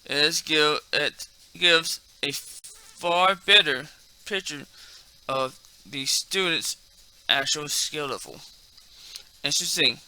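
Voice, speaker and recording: A man hosting a martial arts video in a casual, halting way, repeating and stumbling over words, with a slight speech impairment. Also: on the high side, pushed and bright, clean tone, low-quality recording. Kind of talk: casual talk mixed with halting reading aloud from a text.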